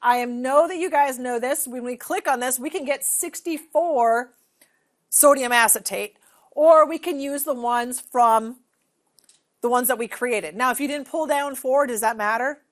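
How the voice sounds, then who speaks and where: A woman's voice: high-pitched, a little slow. A woman in her forties leading a hands-on classroom demonstration, asking the room questions and checking in with "okay?". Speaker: teacher trainer. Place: large training room.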